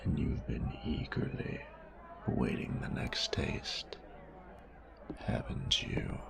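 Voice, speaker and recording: A man playing a menacing, sadistic bandit in an audio roleplay, crude and mocking, with a gravelly, taunting drawl. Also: takes it slowly, whispered, close to an everyday voice. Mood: menacing, seductive. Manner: taunting, drawling, drops to breathy murmurs. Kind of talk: audio roleplay drama acting.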